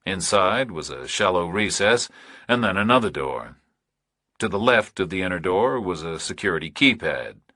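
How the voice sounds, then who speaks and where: A man reading scripted thriller narration as an audiobook narrator, in measured, terse phrases. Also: low-pitched, very animated, bright, forceful tone, slightly rough, dry recording, studio quality.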